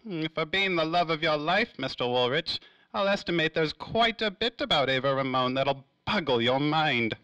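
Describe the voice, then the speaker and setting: A man's voice: a little high, animated, sharp tone, no echo, lo-fi sound. A man playing a suave, cagey, self-important tycoon in a 1930s-style radio drama, drawing out his words theatrically. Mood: smug, evasive. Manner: theatrical, drawn-out, evasive phrasing. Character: suave, cagey, self-important industrial magnate in a noir serial.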